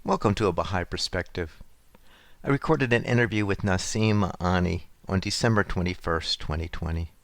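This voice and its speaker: A man hosting an interview show, reading a calm scripted intro and asking measured questions. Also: low-pitched, soft background music. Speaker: host.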